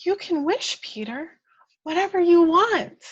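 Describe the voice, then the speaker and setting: A woman playing a tiny, spirited, encouraging fairy in an animated way at a script reading. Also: very high-pitched, unhurried, dull audio, voice pushed up. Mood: gently encouraging.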